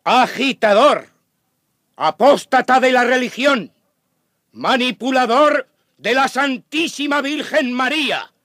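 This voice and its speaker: An actor playing a pompous, outraged church accuser, denouncing in a scandalised, drawn-out manner in a radio drama. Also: male voice, extremely high pitch, fairly bright tone, exaggerated voice. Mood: scandalised contempt.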